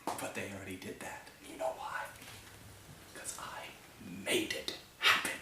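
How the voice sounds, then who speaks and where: A man's voice: a little slow, close to an everyday voice. A man playing an anguished, vengeful time-travelling alien war survivor in an improvised fan-film monologue, with an intense delivery. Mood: grimly defiant. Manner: intense, swinging from hissed whispers to shouts. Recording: roomy, slightly distant microphone.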